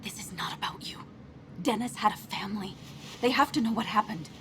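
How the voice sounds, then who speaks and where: An actress playing an anxious, principled and outspoken friend in a video game, speaking in an earnest, emotional way. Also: female voice, on the high side, fairly quick, in a whisper, lightly acted. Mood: reproachful, urgent. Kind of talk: naturalistic video game acting.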